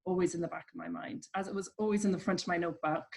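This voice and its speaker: A woman with an Irish accent giving reflective, explanatory answers as a guest in an interview, pausing as she phrases her thoughts. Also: on the low side, fairly even intonation, creaky, darkish tone. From Ireland. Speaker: interview guest, coach at a business charity.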